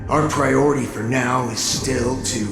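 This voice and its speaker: An actor playing a patient, scheming official in a video game, with a measured, formal delivery. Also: male voice, mid-range voice, steady tone, natural voice. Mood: conspiratorial. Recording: echoing voice over music.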